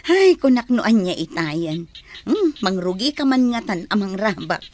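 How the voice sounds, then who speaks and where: A woman playing a gentle woman in love in a radio drama, with a husky, breathy voice. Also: medium pitch, very animated, slow pace. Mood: amused, reflective. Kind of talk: Ilocano radio drama acting.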